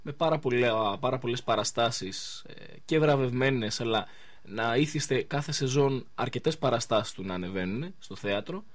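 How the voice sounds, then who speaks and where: A man hosting a live radio interview in speech, chatting informally with pauses as he goes. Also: mid-range voice, expressive, no echo. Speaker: radio show host.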